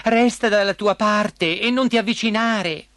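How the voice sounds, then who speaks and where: A man's voice: high voice, measured pace, fairly bright tone, dry recording, voice pushed up. A man voicing a spoiled, squeamish young princess in a storybook tale, dramatic and petulant, with music behind. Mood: exasperated. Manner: exclaiming, petulant. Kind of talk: storybook character acting.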